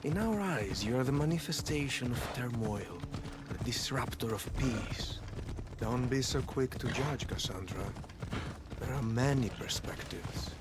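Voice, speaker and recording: An actor playing a philosophical, quietly menacing cult storyteller in a video game, speaking in a measured, eloquent, theatrical way. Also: male voice, medium pitch, expressive, very noisy, close to an everyday voice. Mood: smug, persuasive. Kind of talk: video game dramatic acting. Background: music.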